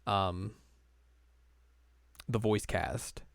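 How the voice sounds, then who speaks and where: A man with a fairly low voice co-hosts a casual podcast, rambling through his thoughts with plenty of fillers and laughs. The sound is dry and close. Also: very slow, gravelly, no room sound. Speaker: podcast co-host. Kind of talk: casual chat.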